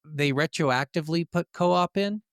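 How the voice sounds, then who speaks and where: A man hosting a casual gaming podcast, telling stories in an animated, conversational way. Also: a little high, a little slow, clear, resonant tone, dry recording, studio quality. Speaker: podcast host.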